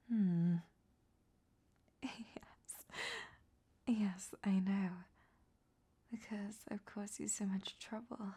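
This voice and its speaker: Casting: female performer for a sly, vain vampire temptress in audio roleplay; husky whispered voice, unhurried, coy and flirtatious. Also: mid-range voice, dark tone, exaggerated voice. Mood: coy, teasing. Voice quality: breathy, whispery. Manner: slow, teasing, coy.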